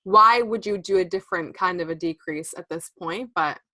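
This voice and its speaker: Brief: bright, chatty woman in her thirties, casual knitting chat, laughs easily. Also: on the low side, normal speed. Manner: chatty, laughs often, lots of fillers. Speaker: podcast co-host.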